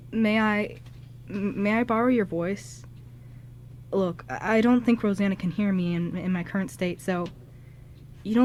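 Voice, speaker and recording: A woman's voice: mid-range voice, darkish tone, lightly acted. A flustered, good-hearted guy ghost acted by a woman in a radio play. He stammers and rambles in a mid-range voice, with a faint hum behind him. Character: flustered, kind-hearted male ghost, a loyal friend. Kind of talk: amateur radio drama script reading.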